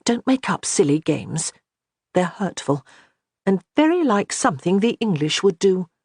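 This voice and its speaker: A woman's voice: medium pitch, very animated, unhurried, darkish tone, breathy edge, dry recording, clean studio sound, pitched above the performer's own voice, full character voice. A reader voicing a proud, blunt young noblewoman who speaks firmly and plainly. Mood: earnest. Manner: firm and forthright.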